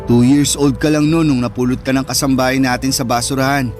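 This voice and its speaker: A man's voice: mid-range voice, flat delivery, brisk, a little mellow, natural voice. A man with a Filipino accent playing a sensitive, neglected older brother in an earnest, emotive radio drama style. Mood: mock solemn. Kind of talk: Tagalog radio drama acting. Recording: music under the voice.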